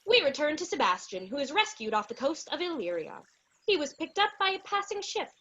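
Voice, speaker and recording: A young woman narrates in old-time radio announcer style. Her voice is high and swoops with animation, and the recording is a little muffled. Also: big pitch swings, brisk, fairly bright tone. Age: young adult. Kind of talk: scripted 1940s radio narration and ad.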